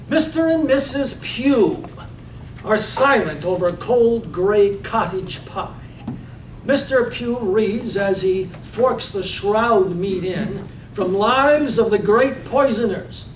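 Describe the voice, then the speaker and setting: Guy narrating poetic radio play, slow, roomy far off sound. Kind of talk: dramatic reading of poetic radio drama narration. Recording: roomy, distant microphone, faint rumbly noise.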